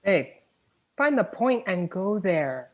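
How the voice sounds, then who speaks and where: A woman's voice: medium pitch, sing-song, slow, muffled recording. A woman acting a blunt, sarcastic, exasperated millennial best friend in a rom-com script read, with dry delivery. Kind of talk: screenplay table read, rom-com dialogue.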